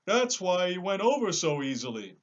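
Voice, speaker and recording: A man's voice: high voice, sing-song, moderate pace, dull audio, pitched above the performer's own voice. A man voicing a big cowardly lion in a storybook, sad, timid and self-pitying, with a plaintive, theatrical delivery. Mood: curious, surprised. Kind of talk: storybook character voice.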